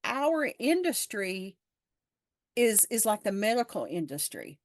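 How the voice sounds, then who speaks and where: A woman's voice: medium pitch, very animated, measured pace, no echo. Frank woman in her fifties, dry close sound. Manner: frank, earnest, repeats words while thinking. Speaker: podcast guest. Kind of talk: casual conversation. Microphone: video call.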